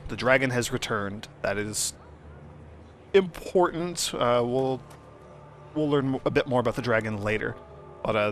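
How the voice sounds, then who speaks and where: A man's voice: medium pitch, a bit bright. A man giving casual, understated and wry commentary as he plays through a video game. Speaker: let's play host. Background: game soundtrack.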